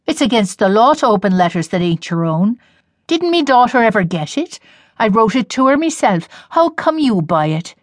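A woman voicing a gruff, suspicious old grandmother who drawls in a slow, folksy way. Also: a little high, very animated, no echo, clean studio sound, pitched above the performer's own voice.

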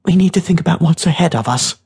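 A narrator plays a streetwise teenage girl in an audiobook story, pitched up from the narration and very husky. She is scornful and bossy, mocking whoever she talks to with brash toughness. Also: fast talker, darkish tone. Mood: stern, impatient.

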